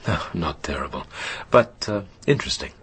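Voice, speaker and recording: A man playing a formal, careful psychotherapist who probes with measured pauses in a naturalistic radio drama. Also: deep voice, big pitch swings, fairly bright tone, husky, exaggerated voice. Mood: bemused, polite. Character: measured, probing American male psychotherapist. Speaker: actor in a radio play.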